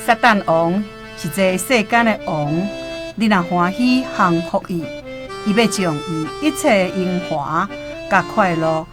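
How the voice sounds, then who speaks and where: A woman's voice: on the low side, unhurried, music in the background, natural voice. A woman playing a sly old roadside guide who coaxes and persuades, in a Taiwanese radio drama. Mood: persuasive. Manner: coaxing, persuasive.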